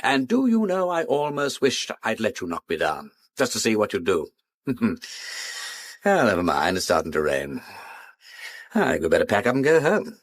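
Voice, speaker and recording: An urbane gentleman detective with an upper-class English accent in a radio drama. His pitch swings widely as he needles people with breezy, teasing wit. The sound is close and dry. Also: wildly swooping pitch, normal speed, dry recording. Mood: light, cool. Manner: teasing, breezy, with drawled asides.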